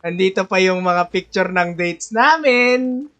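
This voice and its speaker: A woman's voice: mid-range voice, lively intonation, unhurried, fairly bright tone, faint music behind. A woman with a Filipino accent giving casual, playful gameplay commentary, teasing and chatting fondly to the characters. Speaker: game streamer.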